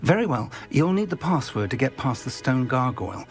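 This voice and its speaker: A man's voice: mid-range voice, sing-song, fairly quick, faint music behind, lightly acted. A man playing a wry, kindly, scholarly professor in a video game, formal and thoughtful in his speech. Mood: thoughtful, urgent. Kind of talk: video game cutscene acting.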